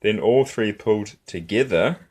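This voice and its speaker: Guy doing storytime for little kids, kinda low voice, really swoopy expressive rhythmic reading. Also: moderate pace, breathy edge. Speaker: storyteller and host of a children's story channel. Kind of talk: children's picture book read-aloud.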